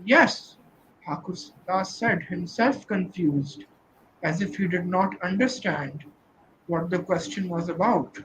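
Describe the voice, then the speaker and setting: Man discussing a novel, faint hiss. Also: sits high. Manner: thoughtful, frequent fillers and restarts. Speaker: literary discussant reading excerpts. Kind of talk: dramatic reading and literary discussion. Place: virtual event call.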